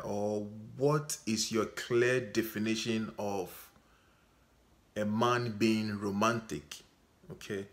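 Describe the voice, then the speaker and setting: Slow, measured Ghanaian man. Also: fairly low pitch, a bit bright. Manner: measured, repeats "okay?" to check in with viewers. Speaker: vlogger. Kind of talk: unscripted talk to camera.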